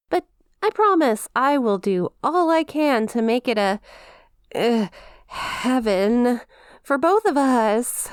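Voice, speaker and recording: A woman's voice: fairly high pitch, clean tone, no echo, clean studio sound. A woman voicing a centuries-old demon imp in an ASMR roleplay, haughty and mock-formal yet awkwardly lovestruck, slipping into hesitant casual speech. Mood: flustered, conceding. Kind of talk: ASMR character roleplay addressed to listener.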